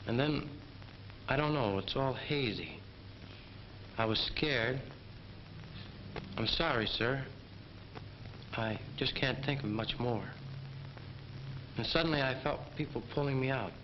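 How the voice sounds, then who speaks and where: A man's voice: mid-range voice, lightly acted. An actor playing a battle-traumatised, deferential enlisted soldier in a 1940s training film, hesitant and apologetic as he speaks. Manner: hesitant, apologetic, haltingly recounting. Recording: muffled, noisy 1940s film soundtrack.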